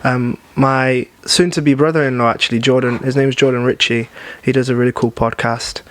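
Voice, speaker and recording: A man's voice: medium pitch, steady tone, normal speed, fairly bright tone. A young man from Nigeria chatting as a podcast guest, easygoing, with many ums and restarts. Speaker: guest singer. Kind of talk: casual podcast chat.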